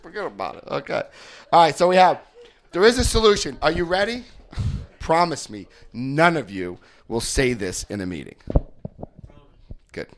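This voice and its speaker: Animated New Jersey man leading a group. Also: a little high, moderate pace, long pauses. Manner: joking, storytelling, checks in often with the group. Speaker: recovery workshop speaker. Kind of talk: animated talk leading a group through a book.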